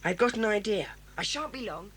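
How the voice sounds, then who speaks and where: A woman's voice: medium pitch, lively intonation, a little fast, a bit bright, lightly acted. A woman voicing a clever, bold schoolboy who leads a children's club, eager and decisive. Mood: determined. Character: clever bold boy leader of a kids' club.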